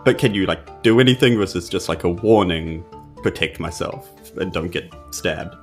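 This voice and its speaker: A man's voice: deep voice, normal speed, faint music behind. A man with a New Zealand accent chatting casually as a player at a tabletop game.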